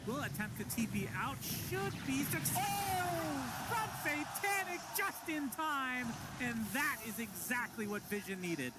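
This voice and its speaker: A man casting a live esports match, calling the play quickly and with excitement, and laughing often. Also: very high voice, heavy background noise.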